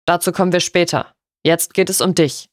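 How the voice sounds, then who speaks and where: A woman voicing a stern, stony older lord in an audiobook, formal, measured and sharp. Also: fairly low pitch, dry recording, clean studio sound, lightly acted.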